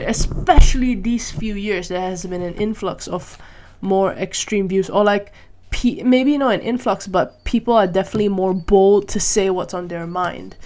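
A woman from Malaysia talking through her opinions in a casual solo monologue. She thinks out loud, asks herself questions and keeps checking in with "right?" and "you know?". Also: mid-range voice. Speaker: vlogger.

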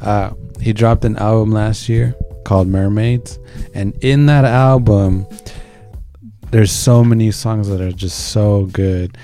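A man with a low, mellow voice chats casually as a music show co-host. He talks in a slangy, enthusiastic way about songs, with music playing behind him. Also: slow pace. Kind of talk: casual chat introducing songs.

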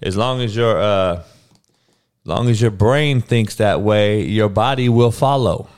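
A man's voice: fairly low pitch, unhurried, a little mellow, smooth. A man in his forties hosting a podcast livestream, chatting loosely with viewers and swearing a lot. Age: 40s. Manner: profane, loose and rambling, talks to the chat. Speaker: podcast host. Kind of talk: casual livestream chat with viewers.

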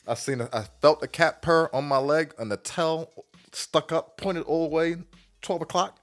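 A man's voice: fairly high pitch, normal speed. A man with an American accent co-hosting a podcast, giving blunt opinions in casual, slangy banter.

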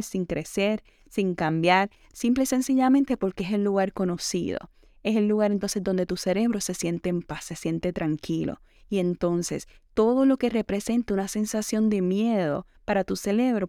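A young woman hosts a self-help podcast, talking things over in a loose, conversational way and reaching for the same filler word again and again. Her voice is smooth and even, with a gravelly edge at the ends of phrases. The recording is dry and close, with no room sound. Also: mid-range voice, no echo. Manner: conversational, leans on the filler "entonces" and slips in English words. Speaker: podcast host. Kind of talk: reflective self-help monologue.

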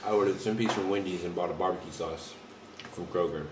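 A man's voice: deep voice, steady tone, fast. A man of nineteen co-hosting a podcast, teasing his friend in laid-back slang. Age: young adult. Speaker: podcast co-host. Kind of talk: casual chat. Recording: distant echoey noisy room sound.

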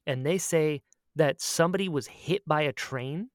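A woman's voice: sits low, normal speed, no echo, clean studio sound. A woman co-hosting a podcast, telling a story casually and expressively, often acting out her own remarks. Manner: animated, expressive, quotes herself dramatically. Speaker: podcast co-host.

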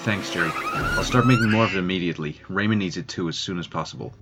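A man's voice: sits low, huge pitch swings, fast, exaggerated voice. A man acting a focused, brilliant scientist in an audio drama. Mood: eager, concerned. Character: focused, brilliant male scientist developing a cure. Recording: distant voice under loud music.

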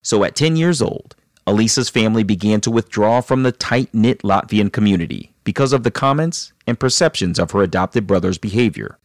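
A man hosting an interview show, narrating scripted introductions in a measured, calm way and interviewing his guest. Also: fairly low pitch, no echo, studio quality. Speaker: podcast host.

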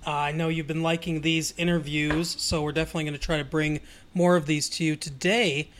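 A man's voice: a little high, level tone, fairly quick, fairly bright tone, a little hiss or hum. A man hosting an interview podcast, welcoming a guest and asking questions in an upbeat way. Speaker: podcast host. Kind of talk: casual interview.

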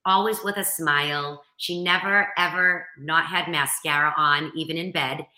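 A woman's voice: low-pitched, fairly even intonation, moderate pace, pushed and bright, echoey room, low-quality recording. A woman with a strong Boston accent, a motivational speaker chatting earnestly as a podcast guest. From Boston area, Massachusetts. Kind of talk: casual interview chat.

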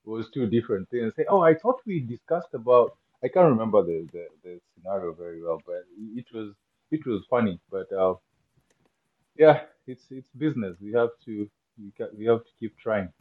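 Halting Kenyan man, low voice. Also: animated, phone-quality sound. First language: not English. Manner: halting, many restarts, laughs easily. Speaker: guest. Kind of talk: interview conversation.

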